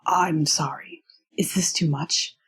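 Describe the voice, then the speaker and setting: A performer voicing a formal, earnest young male college roommate with a husky voice and stilted, precise diction, in an audio story reading. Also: medium pitch, steady tone, unhurried, a bit bright, voice pushed up. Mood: apologetic, flustered.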